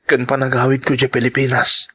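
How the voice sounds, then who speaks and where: A man plays an earnest lover in an emotional audio drama. He speaks slowly and pleadingly, in a middle-pitched voice, as he tries to part from the one he loves. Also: monotone, sharp tone, breathy edge. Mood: pleading, apologetic. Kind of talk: Ilocano radio-style drama acting.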